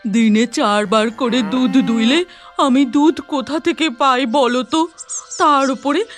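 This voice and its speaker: A woman's voice: high voice, slow, faint music behind. A woman voicing a sad, overworked mother cow in a cartoon, speaking plaintively. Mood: sorrowful. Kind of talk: cartoon voice acting.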